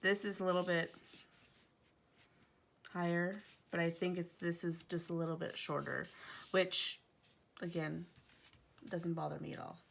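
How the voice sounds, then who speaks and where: A woman's voice: fairly low pitch, level tone, a little slow, vocal fry, pauses often, fairly bright tone. A woman in her thirties, chatting casually and rambling while decorating her planner at home, a little stuffy from allergies. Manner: chatty, rambling, digresses often. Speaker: planner vlogger. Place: home, indoors.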